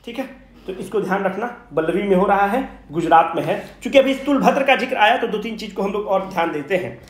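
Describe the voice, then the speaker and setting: A man with a high voice and a Bihari Hindi accent gives an informal exam revision lecture. He poses questions and answers them himself, repeating the key points emphatically in everyday language. The room around him adds a strong echo. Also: animated, fairly quick. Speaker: exam coaching teacher. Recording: echoey room.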